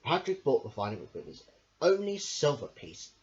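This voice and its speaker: A man reading a children's picture book aloud in a lively sing-song storytelling voice, breaking off now and then for casual asides. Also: medium pitch, normal speed, dull audio.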